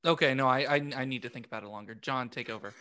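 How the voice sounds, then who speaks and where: A man's voice: mid-range voice, pushed and bright, clean tone. A man co-hosting a casual podcast, hesitant and circling around his point as he talks. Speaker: podcast co-host. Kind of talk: casual group banter.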